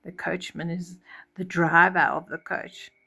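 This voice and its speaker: A woman's voice: on the low side, unhurried, creaky, husky, faint music behind. A woman storyteller reading a children's fairy tale expressively, with chatty asides and acted-out reactions. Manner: animated, acts out reactions.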